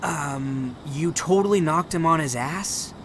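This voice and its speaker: An actress playing a brash, excitable teenager in a video game cutscene, blunt and slangy. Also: female voice, low voice, measured pace, slight background noise. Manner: blunt, exclamatory. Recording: loud music behind the voice.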